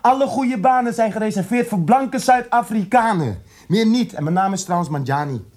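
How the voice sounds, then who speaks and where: An actor playing an educated, indignant South African radical in a radio drama, articulate and emphatic, repeating words for weight. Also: male voice, high-pitched, expressive, moderate pace, a little mellow.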